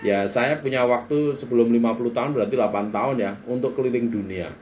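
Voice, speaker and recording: A man's voice: mid-range voice, fast, a little mellow, a little hiss or hum. A man in his forties hosting a live-stream book talk, chatting to viewers and reading their comments aloud in a motivational way. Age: 40s. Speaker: live-stream business coach.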